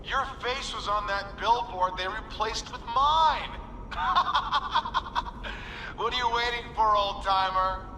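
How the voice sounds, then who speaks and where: An actor playing a manic, unstable egotist in a video game, with a theatrical, mocking delivery. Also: male voice, sits high, takes it slowly, bright, forceful tone, echoey room, a little hiss or hum, exaggerated voice, rough recording. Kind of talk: video game cutscene acting.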